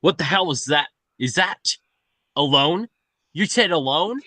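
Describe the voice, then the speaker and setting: A man's voice: on the high side, expressive, a little slow, sharp tone, no echo, heavily acted. A man playing tense, terse officials and agents in a thriller script table read, with clipped delivery. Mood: alarmed, tense.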